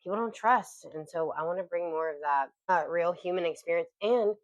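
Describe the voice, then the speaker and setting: Low-voiced soulful woman podcaster. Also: a little fast, no echo. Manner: soulful, warm, emphatic. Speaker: podcast co-host. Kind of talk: casual heartfelt chat.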